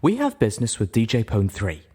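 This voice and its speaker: A man's voice: fairly low pitch, wildly swooping pitch, a little fast, darkish tone. A man voicing a haughty female unicorn pony, lofty, silky and dramatic, in a storybook reading.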